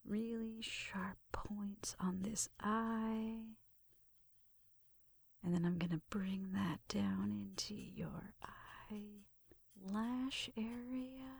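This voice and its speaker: Slow, breathy whispering woman, ASMR roleplay. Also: mid-range voice, dry recording. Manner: gentle, unhurried, murmuring step-by-step narration. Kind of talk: ASMR roleplay, soft personal attention. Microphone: close microphone, dry sound.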